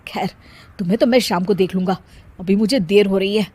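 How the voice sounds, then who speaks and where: A woman voicing an exasperated, bossy young husband in a Hindi cartoon story, loud and complaining. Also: mid-range voice, fairly even intonation, a little fast, breathy edge, lightly acted.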